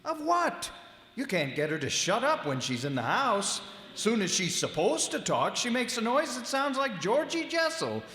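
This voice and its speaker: A man's voice: extremely high pitch, wildly swooping pitch, normal speed, bright, forceful tone, heavily acted. A man playing a fussy, impatient middle-aged father in a live radio play. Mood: exasperated. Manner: grumbling, impatient, fretful. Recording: echoey hall with long reverb.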